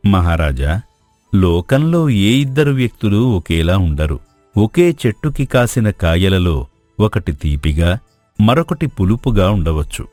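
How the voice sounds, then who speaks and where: A man voices a sage royal teacher from a moral tale, low and richly varied in intonation. He counsels with courtly, measured formality. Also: a little mellow, no room sound. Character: wise, courteous royal guru advising a king. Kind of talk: dramatised moral storytelling.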